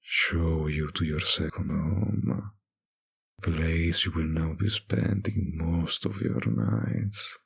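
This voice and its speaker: A man playing a teasing, possessive male vampire in an intimate roleplay addressed to the listener, with a husky, gravelly voice and a lingering delivery. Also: a bass voice, slow, full character voice. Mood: seductive, pleased.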